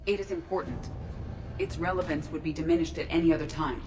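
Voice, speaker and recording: A woman performs an earnest female android intelligence from a video game. The voice sits a little low and speaks in precise, formal sentences, curious and logical. Music plays clearly behind it. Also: close to an everyday voice. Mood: earnest, resolute. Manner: precise, formal, measured phrasing without contractions. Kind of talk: scripted video game voice acting.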